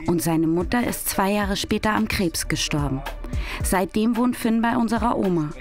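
A woman's voice: medium pitch, moderate pace, music in the background, natural voice. A woman playing a tough but caring, teasing young girl in an audio drama. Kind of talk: audio drama dialogue acting.

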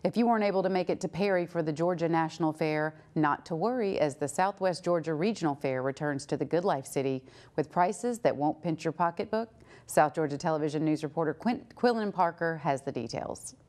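Low-pitched woman reading the news. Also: brisk, a little mellow. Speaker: news anchor. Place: TV studio.

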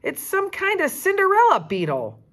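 A woman voicing an excitable, bossy, dramatic young schoolgirl in a storybook, emphatic and exclaiming. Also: extremely high pitch, moderate pace, pitched above the performer's own voice, heavily acted. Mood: excited, indignant.

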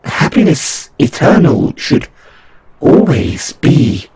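Man as a very slow, husky alien angel. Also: mid-range voice, very animated, a little mellow, full character voice. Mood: baffled, solemn. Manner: halting, solemn, drawn-out formal delivery. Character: serene, condescending alien angel envoy of an empire. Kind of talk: scripted audio roleplay monologue.

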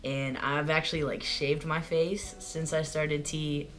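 A young man talking casually to the camera in a small room at home, chatty and rambling with lots of "like" fillers, his voice prone to cracking and going hoarse. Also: a little high, little pitch movement, a little slow, a bit bright. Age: young adult. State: voice goes hoarse easily. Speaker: vlogger. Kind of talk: casual video diary. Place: small bedroom at home, indoors. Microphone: camera in front at close range.

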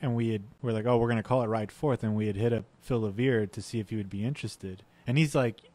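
A man chatting on a podcast as a band guest, telling stories in a lively way and acting out what people said, at a desk mic in a small studio. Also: on the low side, fast, no room sound. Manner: animated storytelling, acts out quotes. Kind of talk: casual podcast chat. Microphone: desk mic with headphones.

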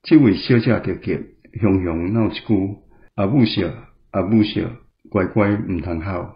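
A middle-aged man with a Taiwanese accent reads prepared commentary. His voice is low, and the recording sounds dull and lo-fi. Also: unhurried. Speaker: guest commentator. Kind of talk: scripted literary commentary.

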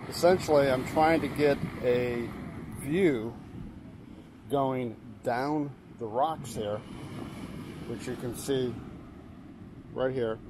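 Middle-aged man photographer, relaxed vlog chatter, outdoors by the surf, audible wave noise behind. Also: mid-range voice, slow, long pauses. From Portland, Maine, USA. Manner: relaxed, explaining as he works, many fillers and restarts. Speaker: landscape photographer. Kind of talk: casual vlog narration while shooting. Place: rocky beach outdoors at dawn, waves behind. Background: surf and ambient noise.

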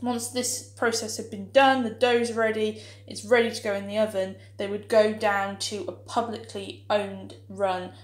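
A woman's voice: mid-range voice, flat delivery, a little slow, few pauses. A young woman chatting casually through a home baking demonstration, upbeat and playful, with plenty of filler ums and little history asides. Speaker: home cooking vlogger. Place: small home kitchen, indoors. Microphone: camera mic on the counter, speaker moving around. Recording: echoey kitchen room sound.

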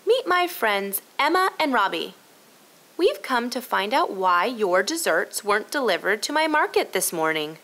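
A woman's voice: mid-range voice, very animated, normal speed, pushed and bright. A woman voicing a friendly, encouraging female shopkeeper in a children's storybook. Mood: cheerful. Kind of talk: children's storybook read-aloud.

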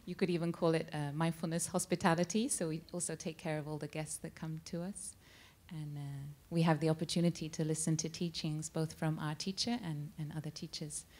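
A man, a monk, calmly answering audience questions at a cinema Q&A, unhurried and with frequent pauses and fillers. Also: fairly high pitch. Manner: calm, unhurried, with many ums and uhs. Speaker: monk at a practice center. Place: cinema stage at a Q&A. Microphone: handheld mic.

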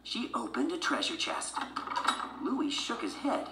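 A woman's voice: on the low side, huge pitch swings, unhurried, a bit bright, slight background noise. A woman narrating a children's storybook from a script as a read-along. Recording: roomy sound with music behind.

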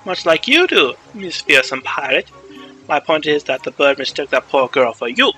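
A man voicing a stern, smug bird-man who lectures deliberately and sarcastically in a video game story. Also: on the high side, sing-song, bright, forceful tone, soft background music, voice pushed down, rough recording. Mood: stern, sarcastic.

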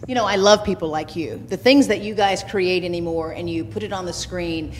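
A woman's voice: on the low side, fairly quick. A woman co-hosting a casual studio talk show, playful and teasing, speaking into a handheld mic. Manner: playful, teasing, lots of affirmations. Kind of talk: casual chat. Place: studio set with sofa. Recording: long reverb, faint ambient noise.

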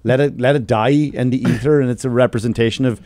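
A man's voice: mid-range voice, fairly even intonation, fast talker, a little mellow, no room sound. A man with an Irish accent telling stories casually and emphatically on a podcast, swearing freely. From Ireland. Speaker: comedian. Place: small podcast studio. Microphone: close studio microphone with headphones.

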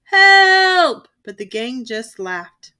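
A young adult woman giving a lively, expressive read-aloud of a children's storybook. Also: a little high, normal speed. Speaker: children's book reader.

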